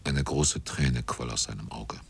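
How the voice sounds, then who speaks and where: An actor narrating a radio drama as a grave seaman, with a husky, often hushed delivery. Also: a bass voice, unhurried, a little hiss or hum, heavily acted. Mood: hushed, tense.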